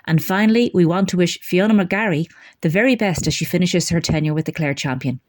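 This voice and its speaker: Irish woman, community radio newsreader, scripted local bulletin, slightly low voice. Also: fairly quick. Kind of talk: scripted local news reading.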